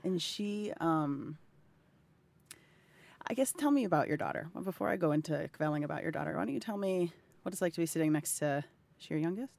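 A woman's voice: deep voice, expressive, brisk, gravelly, a little mellow, no echo. A woman in her thirties speaking as an interviewer in a heartfelt, effusive and emotionally open conversation. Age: 30s. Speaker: interviewer and health advocate.

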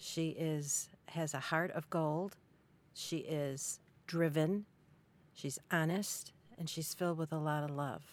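A woman's voice: deep voice, steady tone, slow. A woman in her sixties speaking plainly and briefly as a guest in a personal conversation. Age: 60s. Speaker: interview guest, a mother.

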